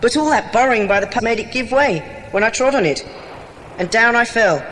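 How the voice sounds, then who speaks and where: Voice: mid-range voice, lively intonation, fairly quick, fairly bright tone, a little hiss or hum, close to an everyday voice, low-quality recording. An actor playing an earnest, practical British boy in a dramatised children's adventure audiobook, with a well-spoken manner. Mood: reassuring.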